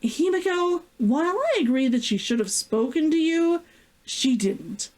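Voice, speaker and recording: A woman's voice: high-pitched, big pitch swings, unhurried, darkish tone, pitched above the performer's own voice. A woman voicing an exasperated, firm male town healer who is losing his temper in a story read aloud. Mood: exasperated, reasoning.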